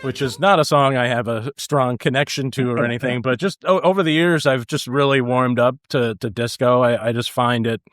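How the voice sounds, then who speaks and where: A man on a podcast panel talking casually and haltingly about music. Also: mid-range voice, fairly even intonation, a bit bright, no echo. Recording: faint music behind the voice. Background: faint music.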